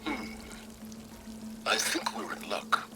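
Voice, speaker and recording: An actor playing a condescending, impatient, scheming middle-aged researcher in a video game, with a measured, sardonic delivery. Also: male voice, whispered, music in the background, close to an everyday voice. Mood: dry, instructive. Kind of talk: dramatic video game dialogue.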